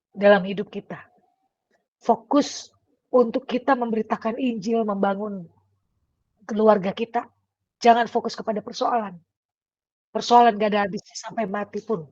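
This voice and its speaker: A woman's voice: mid-range voice, a little mellow. A middle-aged woman giving a casual devotional sermon in a conversational way, with fillers and tag questions, coughing now and then. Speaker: devotional speaker. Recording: dull, dry, lo-fi recording.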